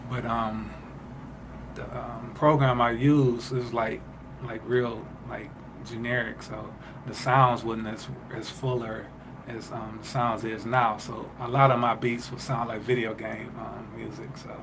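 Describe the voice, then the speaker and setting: A man from Detroit in his thirties, a music producer answering interview questions in a halting way, with many fillers and restarts. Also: mid-range voice, level tone, creaky. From Detroit, Michigan. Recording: noisy ambience behind voice.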